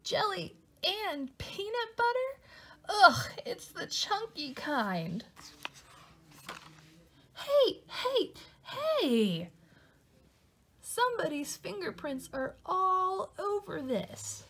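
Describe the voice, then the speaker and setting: A woman voicing an excitable, dramatically exasperated little boy from a storybook, with theatrical, indignant exclamations. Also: extremely high pitch, extremely slow, long pauses, voice pushed up, full character voice. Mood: alarmed, exasperated.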